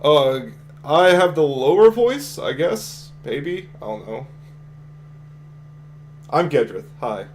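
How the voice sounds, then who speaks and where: A man with a deep voice giving relaxed live commentary on his own speedrun, chatty and explaining techniques as he plays, often trailing off and restarting sentences. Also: slow, pauses often, slight background noise. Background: game music.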